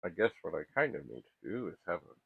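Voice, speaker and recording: A man with a very low, husky voice thinks aloud in casual, hands-on tech commentary. He speaks haltingly and often questions himself. The recording is dry and muffled, with a thin phone-like band. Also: very animated, no echo. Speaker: host of a vintage computer repair channel.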